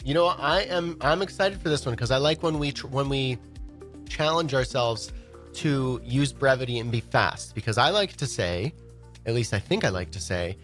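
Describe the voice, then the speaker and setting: A man's voice: medium pitch, animated, moderate pace, a bit bright, faint music behind. A man with a head cold, sounding congested, co-hosting a podcast in a studio and explaining ideas in a playful, casual way. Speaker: podcast co-host. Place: recording studio.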